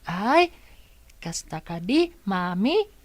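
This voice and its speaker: A woman playing a polite, playful, chatty young boy in an audio drama, with slow, drawn-out delivery. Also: medium pitch, sing-song, exaggerated voice. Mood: polite, eager.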